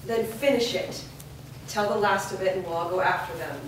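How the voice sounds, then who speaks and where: A woman's voice: mid-range voice, normal speed, lightly acted. A woman playing a determined, demanding daughter in a staged reading. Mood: demanding, resolute. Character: determined daughter demanding truth about her parents. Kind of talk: staged reading of a drama script. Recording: noisy, very echoey hall from far off.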